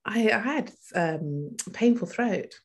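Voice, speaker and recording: A woman in her forties telling her personal story as an interview guest, reflective and halting as she pauses mid-thought. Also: mid-range voice, animated. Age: 40s.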